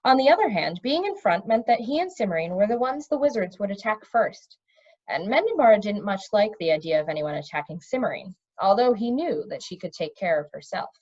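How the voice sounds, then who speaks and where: A woman narrates a children's fantasy story out loud with expression. Her voice sits at a middle pitch. The recording is dull and low in fidelity. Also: big pitch swings, a little fast. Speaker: storybook reader.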